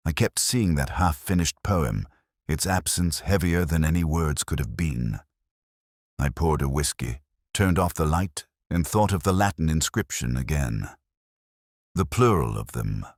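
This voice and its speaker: A man narrates a detective mystery in the first person with a cavernously low voice. His reading moves through a wide, expressive range, measured and brooding. The sound is dry and close, with no room around it.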